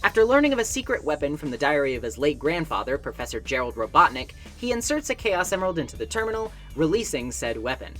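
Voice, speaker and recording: A woman's voice: sits low, very animated, quick pace, few pauses, fairly bright tone. A woman narrating a scripted video essay in a wry, conversational and articulate way. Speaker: video essayist, game reviewer. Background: background music.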